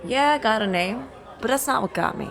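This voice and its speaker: A woman's voice: a little high, expressive, brisk, fairly bright tone, a faint crowd. A woman playing a tough, sardonic female bounty hunter in an audio drama, blunt and teasing. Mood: sly, teasing.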